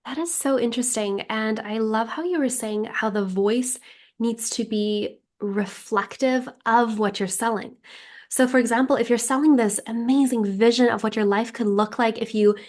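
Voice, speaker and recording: A woman's voice: a little high, normal speed, darkish tone. A woman hosting a podcast interview, enthusiastic and reflective, leaning on "like" as she thinks aloud. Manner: enthusiastic, reflective, frequent "like" fillers. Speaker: podcast host.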